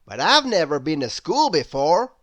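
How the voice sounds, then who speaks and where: A woman voicing a confident male storybook oddball, loud and emphatic. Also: sits low, big pitch swings, normal speed, exaggerated voice. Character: confident, modest Mr Men fantasy character, male.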